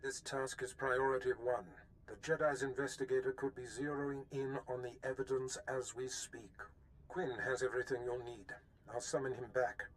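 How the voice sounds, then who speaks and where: An actor playing a commanding, scheming dark lord in a video game, measured and conspiratorial. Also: male voice, medium pitch, level tone, a little hiss or hum, natural voice, poor audio. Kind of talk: cinematic video game voice acting.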